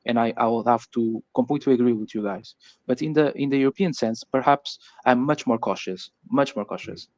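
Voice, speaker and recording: A man's voice: mid-range voice, fairly quick. A man from Portugal with a Portuguese accent, speaking in a casual discussion and often repeating words as he searches for phrasing. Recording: muffled, echoey voice-chat audio.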